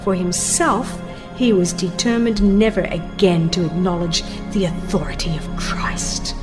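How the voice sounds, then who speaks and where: Slow, dramatic woman narrator over music. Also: fairly low pitch. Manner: solemn, dramatic, formal delivery with hushed phrase endings. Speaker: audiobook narrator. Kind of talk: dramatized scripted narration of religious text. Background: music under the voice.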